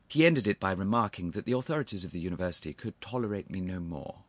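A man voicing an affable, impudent young gentleman in a storybook, unflappable, courteous and easily conversational. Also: deep voice, animated, fast, no echo. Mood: cool, frank. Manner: smooth, courteous, easy conversational cheek. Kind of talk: audiobook character voice.

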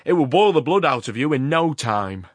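A man's voice: medium pitch, big pitch swings, moderate pace, no echo, pitched above the performer's own voice. A man voicing a furious, bawling middle-aged ironmaster in a dramatic audiobook reading, his voice raised to a savage bellow. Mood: bellowing menace.

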